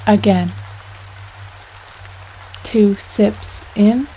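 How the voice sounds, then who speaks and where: A woman leading a guided yoga nidra meditation in a soothing, unhurried way, pausing between phrases. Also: medium pitch, background noise. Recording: steady rain sounds over voice. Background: rain sounds.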